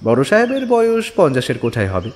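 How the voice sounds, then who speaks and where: A man's voice: fairly high pitch, wildly swooping pitch, fairly quick, a little hiss or hum. A man narrating a scripted audio story in a dramatic storytelling style. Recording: long reverberant tail on voice. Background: music.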